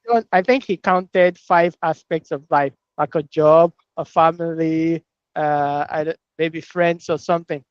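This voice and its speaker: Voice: mid-range voice, fairly even intonation, measured pace, dry recording, muffled recording. A person in a casual discussion, speaking reflectively and hesitantly, often repeating words while working through a thought.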